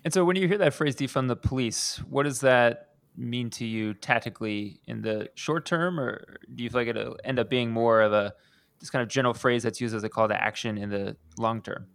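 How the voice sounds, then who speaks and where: A man's voice: medium pitch, brisk, vocal fry, a bit bright, dry recording. A male podcast host with a mid-range voice, upbeat and asking long questions. The recording is dry, with no room sound. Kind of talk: podcast interview.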